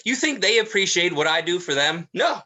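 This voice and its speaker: Man acting a jittery, bright-voiced anxious killer. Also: sits high, expressive, full character voice. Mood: bitter, agitated. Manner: halting, rambling, nervous. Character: anxious awkward eldest brother, a rambling apologetic horror killer. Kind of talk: dramatized horror play reading.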